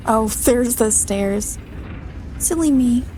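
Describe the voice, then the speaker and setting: A woman's voice: a little high, takes it slowly, dark tone, faint music behind. A woman casually chatting to her viewers while she plays a video game, in a giggly, self-deprecating way. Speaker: streamer playing a video game. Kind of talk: casual let's-play commentary.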